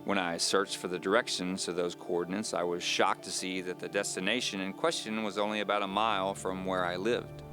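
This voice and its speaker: A man playing an uneasy man recounting his own mystery in measured, confiding, scripted audio drama narration. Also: low-pitched, flat delivery, music in the background, close to an everyday voice. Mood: wary, resolute.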